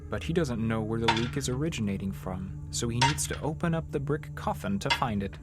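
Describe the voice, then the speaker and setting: An actor playing a clinical, eerily calm interrogator in a horror audio drama, questioning formally, deliberately and insistently. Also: male voice, medium pitch, loud music behind the voice, lightly acted. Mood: cold, clinical.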